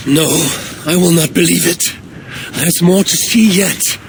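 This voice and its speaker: A woman's voice: on the low side, measured pace. A woman playing a proud, troubled Viking warrior in a video game, terse and grave. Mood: defiant. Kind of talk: dramatic video game acting. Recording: clear background ambience noise.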